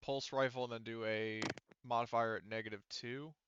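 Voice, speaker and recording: A man's voice: mid-range voice, fairly even intonation, moderate pace, lo-fi sound, noisy background. A man running a casual tabletop roleplaying session as game master, explaining rules and thinking aloud as he goes.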